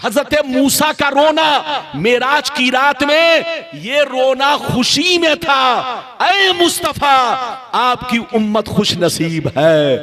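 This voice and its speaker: Male preacher for an Islamic sermon: slow, declamatory, repetitive for emphasis, prompting listeners, live crowd audible. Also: very high-pitched. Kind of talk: impassioned Islamic sermon with storytelling. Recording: live gathering with crowd noise.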